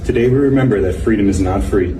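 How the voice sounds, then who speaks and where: Male memorial speaker, low and solemn, declamatory, echoey and off-mic with clear noise. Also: fast. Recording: echoey, distant mic, noisy ambience.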